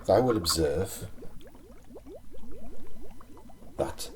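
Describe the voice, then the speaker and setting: A man with a Welsh accent playing a sinister, pompous Elizabethan alchemist in a hushed, deliberate ASMR roleplay. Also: low voice. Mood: measured, secretive. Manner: archaic, pompous, deliberate phrasing. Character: wretched, sinister Elizabethan male alchemist, grandly mystical. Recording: light steady electrical background noise. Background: liquid sounds and clicks.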